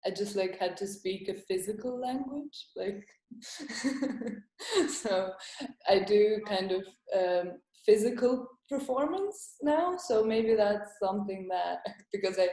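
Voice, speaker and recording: Estonian-born woman comedian, soft and roomy. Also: medium pitch. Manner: hesitant, laughs often, halting phrasing. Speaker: comedian guest. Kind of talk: casual interview.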